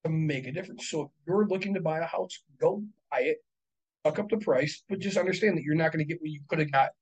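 A man in his thirties, a real estate investor, talking casually and bluntly, swearing and working through numbers out loud. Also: a little high, fast talker, husky, rough recording. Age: 30s. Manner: profane, blunt, works through numbers aloud. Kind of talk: casual podcast chat.

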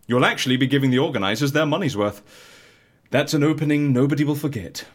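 An actor with a British accent playing an eccentric, genial agency fixer in a scripted audio comedy, coaxing and wry. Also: male voice, mid-range voice, fast talker, natural voice. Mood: brisk, pleased. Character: eccentric, genial male agency fixer, cocoa-loving and breezy.

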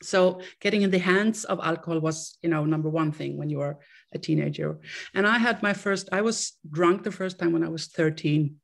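A Swedish woman in her fifties, speaking English as a second language with a Swedish accent. She talks in a halting way, restarting and repeating words as she tells her story. Her voice has a gravelly, creaky edge. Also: on the low side. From Sweden. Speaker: guest. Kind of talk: casual interview.

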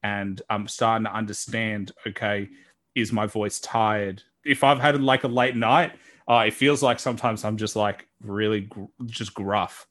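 A man from Australia with a deep voice, hosting a podcast and talking in a reflective, earnest way. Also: fairly even intonation, unhurried, a bit bright. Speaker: podcast host. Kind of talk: casual interview talk.

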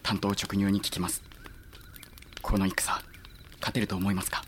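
An actor playing an earnest, loyal young samurai officer, polite and admiring toward a superior, in a Japanese period audio drama. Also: male voice, low-pitched, flat delivery, normal speed, a bit bright, a little hiss or hum. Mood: hushed. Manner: polite, eager, deferential.

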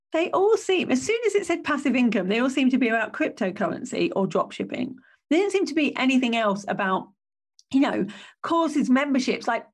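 A woman hosting her own business podcast, with a slightly croaky voice and a chatty, self-correcting way of talking. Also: a little high. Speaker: podcast host.

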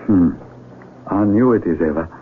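A man's voice: low-pitched, normal speed, natural voice. A man acting in a radio drama as an earnest outsider among villagers, with an emphatic, dramatic delivery. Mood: tender. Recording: tinny radio broadcast with faint noise.

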